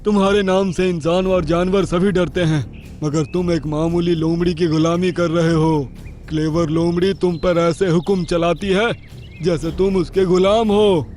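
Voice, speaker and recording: A man voicing a shrewd lion king in a children's cartoon, with deliberate, regal delivery. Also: high voice, steady tone, darkish tone, faint music behind, natural voice. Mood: cunning. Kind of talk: children's cartoon character acting.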